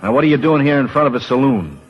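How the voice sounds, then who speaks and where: A world-weary American tough guy, acted by a man in an old radio drama. He is brusque and wry, with lively swings in a mid-pitched voice, heard in heavy reverb with music behind. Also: fast talker, highs cut off, close to an everyday voice. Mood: brusque, impatient. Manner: brusque, sardonic. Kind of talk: 1940s radio acting.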